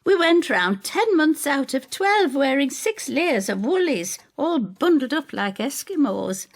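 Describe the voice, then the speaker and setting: A woman's voice: high voice, very animated, unhurried, pitched above the performer's own voice, exaggerated voice. A woman voicing a bitter, self-critical older woman with a rueful, tart and emphatic manner in an audio drama. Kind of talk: audio drama storytelling dialogue.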